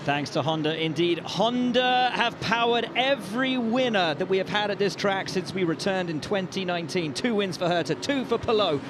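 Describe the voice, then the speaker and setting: Upbeat high-voiced male race commentator. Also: expressive, moderate pace, hardly any pauses, background noise. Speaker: lead commentator. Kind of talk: live race broadcast commentary.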